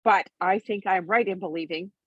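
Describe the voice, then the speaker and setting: A woman's voice: mid-range voice, a little fast, no echo, muffled recording, natural voice. A woman voicing a small male hobbit in a storybook, prim, formal and easily ruffled. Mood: indignant, dignified. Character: small, offended male hobbit standing on his dignity.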